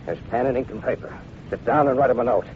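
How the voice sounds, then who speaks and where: A man's voice: mid-range voice, quick pace, natural voice. Guy acting mean gunman barking short threats, old radio drama, phone-like sound w lots of noise. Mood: menacing. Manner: curt, threatening. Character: rough, bullying gunman taking hostages. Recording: tinny, very noisy old radio recording.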